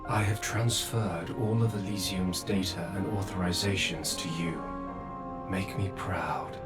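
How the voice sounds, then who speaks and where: An actor playing an ancient, remorseful creator figure who speaks slowly and gravely. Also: male voice, low voice, steady tone. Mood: tender. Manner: slow, grave, measured. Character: ancient godlike male creator, solemn and remorseful. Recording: echoey far-off voice with hall reverb and music.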